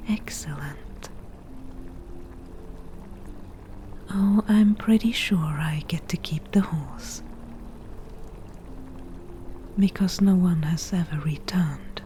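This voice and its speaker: Woman playing a slow, whispering forest witch. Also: on the low side, slight background noise, lightly acted. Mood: sly, businesslike. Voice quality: soft, breathy whisper. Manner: slow, measured, matter-of-fact with dry asides. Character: bargaining forest witch, crafty, a little defensive. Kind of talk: whispered ASMR roleplay. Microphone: close ASMR microphone.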